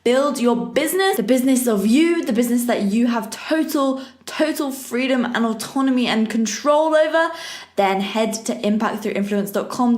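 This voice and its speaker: An upbeat woman hosts a podcast, her voice pitched a little high and lively. She talks in an energetic promo style, and the room around her echoes. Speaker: podcast host. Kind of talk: podcast intro and interview.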